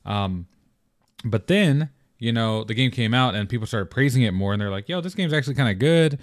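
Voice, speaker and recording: A young man hosting his own podcast alone, with a deep morning voice, talking in a rambling, relaxed way with lots of fillers and restarts. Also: brisk, dry recording, studio quality. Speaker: podcast host. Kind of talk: casual solo podcast talk.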